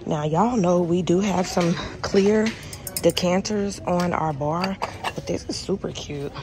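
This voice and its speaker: A woman's voice: fairly low pitch, unhurried, noisy background. A young woman vlogger, chatting casually to her viewers and calling them y'all. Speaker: lifestyle vlogger. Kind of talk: casual chat to camera. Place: home, then shopping in a store.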